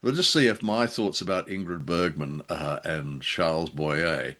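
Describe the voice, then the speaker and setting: Guy podcast co-host, deep low voice, casual and a bit jokey, feels his way through sentences. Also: unhurried, a bit bright. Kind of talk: casual film and radio discussion.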